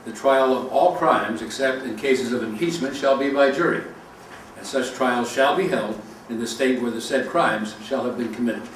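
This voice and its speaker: A man's voice: medium pitch, normal speed. A man reading a legal document aloud formally at a public event. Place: large reverberant room at a public event. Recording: very echoey hall, distant mic, faint noise.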